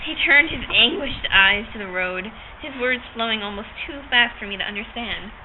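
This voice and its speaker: A woman's voice: on the high side, normal speed. A woman giving a theatrical, mock-dramatic reading of a novel as an amateur reader. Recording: tinny, band-limited, noisy amateur recording.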